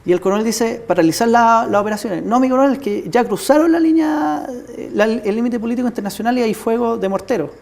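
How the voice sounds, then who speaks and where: A mellow-voiced man with a Chilean accent speaks as an interview guest. He lays out a historical account in an explanatory way, stressing his points with measured emphasis. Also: very high-pitched, vocal fry. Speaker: studio guest, military history commentator.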